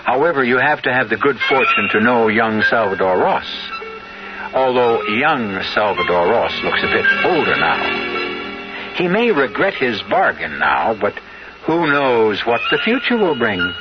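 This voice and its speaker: A man's voice: medium pitch, big pitch swings, measured pace. An American man hosting a 1970s radio mystery, narrating from a script in a wry, theatrical manner. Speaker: radio drama host narrator. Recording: dull vintage radio recording, loud music.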